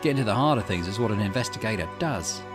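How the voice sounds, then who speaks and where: A calm male investigator, acted out by a man in a low voice. He is a visual novel character, measured and polite, giving earnest counsel. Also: music in the background, lightly acted.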